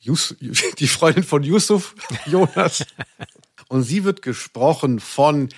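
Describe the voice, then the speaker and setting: A man's voice: on the high side, animated, takes it slowly, slightly rough. A man co-hosting a podcast, chatting casually about audio dramas in a playful, mock-serious way. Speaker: podcast co-host.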